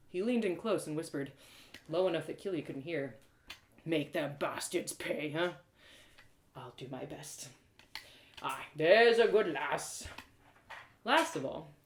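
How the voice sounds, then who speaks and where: Animated woman storyteller in an echoey room. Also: medium pitch. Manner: expressive, playful. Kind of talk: live first-person fantasy story narration. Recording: roomy echo.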